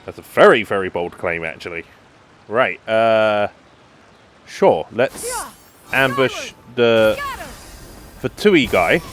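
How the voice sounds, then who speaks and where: A man's voice: on the low side, lively intonation, slow pace, pauses often, soft background music. A man giving casual, laconic gameplay commentary with wry asides. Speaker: gameplay streamer.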